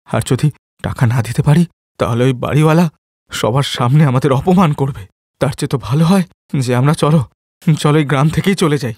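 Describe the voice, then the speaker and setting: A man's voice: mid-range voice, brisk, darkish tone, breathy edge, dry recording, clean studio sound. A man voicing a humble, caring and distressed poor father in a cartoon moral story. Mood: despairing. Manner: plaintive, earnest, repeats phrases.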